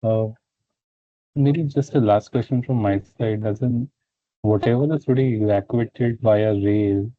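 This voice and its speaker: Flat, low-voiced analyst over the phone. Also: male voice, unhurried, dry recording. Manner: hesitant, polite, roundabout phrasing. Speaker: financial analyst asking questions. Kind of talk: earnings call questioning. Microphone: phone line. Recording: thin phone line, very dry.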